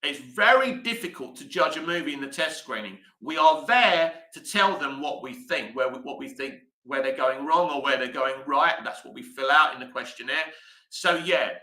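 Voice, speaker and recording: A man's voice: medium pitch, expressive, normal speed, pushed and bright, roomy sound, poor audio. A man from the UK hosting a live stream, chatting and answering viewer comments as he reads them out. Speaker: host.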